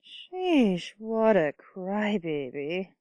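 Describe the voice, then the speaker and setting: A woman's voice: medium pitch, very animated, extremely slow, mellow, no room sound. A woman playing a brash, teasing, party-loving neighbour in an amateur audio play, with a playful, mocking manner.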